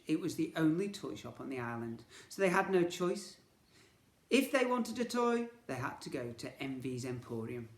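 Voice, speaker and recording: A man reading a children's story aloud in an expressive, theatrical way, with emphatic delivery. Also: fairly high pitch. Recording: echoey room.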